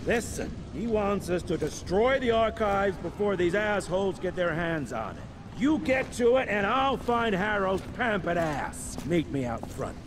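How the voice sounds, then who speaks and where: A brash, foul-mouthed agent in a Western action game. His high voice swings up and down urgently over very loud noise. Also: sing-song, unhurried. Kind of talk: video game cutscene acting. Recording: very noisy outdoor-like background.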